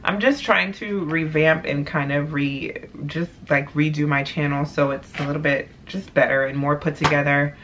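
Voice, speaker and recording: Young woman vlogger, deep low voice, relaxed, playful asides, recorded at home with slight background noise. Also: normal speed, hardly any pauses. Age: young adult. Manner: chatty, laid-back, playful asides. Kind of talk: casual haul vlog. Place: small bedroom, indoors. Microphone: camera at desk distance.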